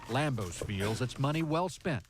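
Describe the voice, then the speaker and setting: A man's voice: medium pitch, animated, unhurried, soft background music. A man with an American accent reading a scripted television news report as a reporter.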